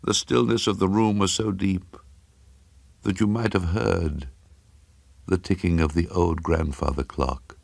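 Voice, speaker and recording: A man reading a horror story for radio drama in a grave, suspenseful manner with deliberate, dramatic phrasing. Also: sits low, steady tone, creaky, darkish tone, dry recording.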